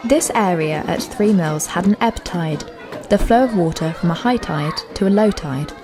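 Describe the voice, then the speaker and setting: A woman's voice: fairly low pitch, a little mellow. A woman reading scripted, educational narration as the guide of an audio walk.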